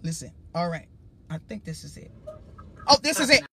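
A man with a high-pitched voice hosting his own livestream as a preacher, talking to viewers in an emphatic, rambling way. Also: sing-song, normal speed, creaky, background noise. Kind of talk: casual livestream talk.

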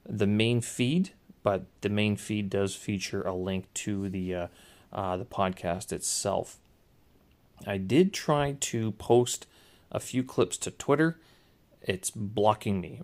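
A man with a naturally loud voice hosting a casual solo podcast, hesitant and often correcting and rephrasing himself as he goes. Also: on the low side. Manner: hesitant, self-correcting, circling back to rephrase. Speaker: podcast host. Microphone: small handheld recorder or podcast microphone.

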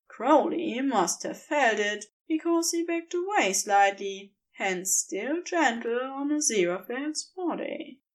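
High-voiced, expressive woman narrating fiction. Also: slow pace, hardly any pauses, poor audio. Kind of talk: scripted fiction narration, storytelling.

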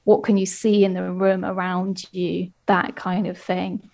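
A woman coach talking calmly and in a measured way in a podcast conversation. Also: lowish voice, creaky. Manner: calm, measured, soothing. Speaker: ADHD coach.

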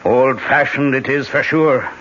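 A man's voice: medium pitch, unhurried, a bit bright. Shrewd, bossy Irishman, muffled radio. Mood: gruff, dismissive. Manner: brusque, lilting folksy phrasing. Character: shrewd, bossy Irish innkeeper and secret gun-runner. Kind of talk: 1970s radio drama acting. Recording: dull, muffled 1970s radio recording.

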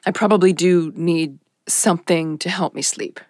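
A woman's voice: on the low side, darkish tone, no room sound, studio quality, lightly acted. A woman playing a sleepless, troubled police officer who talks in a hesitant, evasive way in an audio drama. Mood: weary, confessing. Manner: hesitant, halting, stammering. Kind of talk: naturalistic audio drama acting.

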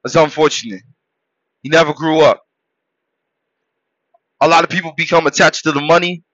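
A man born in Haiti hosting a podcast, delivering a preachy motivational monologue that swings between loud shouted exhortations and low, quiet murmurs. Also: moderate pace, no echo, muffled recording. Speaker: podcast host.

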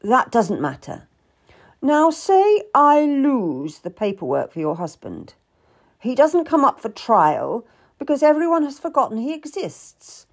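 A woman acts out a Tudor nobleman from a historical story. He is curt and calculating, talking down to those below him. The delivery is animated, with wide pitch movement. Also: a little slow, heavily acted. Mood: persuasive, calculating. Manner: brusque, condescending. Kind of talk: dramatised audiobook character.

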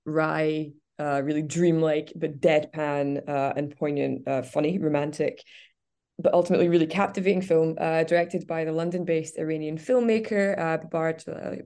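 A woman's voice: sits low, a little mellow. A woman on a community radio show reviewing a film in casual, enthusiastic talk with many fillers. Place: radio studio.

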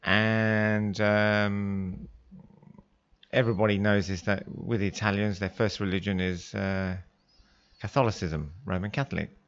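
A man with an English accent, a guest in a radio studio, talking in a relaxed, conversational way. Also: on the low side, unhurried, clean tone, dull audio. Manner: relaxed, conversational, with Arabic greetings. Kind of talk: casual radio interview.

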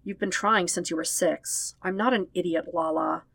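A woman's voice: lowish voice, moderate pace, creaky, fairly bright tone, dry recording. A woman voicing a weary, guarded teenage prince, a protective older brother, in a fan fiction audiobook. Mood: guarded, cold.

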